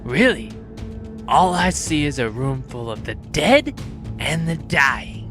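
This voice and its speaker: An actor playing a coolly menacing, sardonic robot villain with a theatrical, mocking delivery in a sci-fi audio drama. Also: male voice, fairly high pitch, big pitch swings, slow pace, music in the background, heavily acted. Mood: mocking menace.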